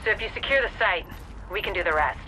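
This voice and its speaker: A woman playing a steady, encouraging field agent who gives earnest, businesslike mission briefings in a video game. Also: medium pitch, quick pace, slight background noise, rough recording. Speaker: mission coordinator.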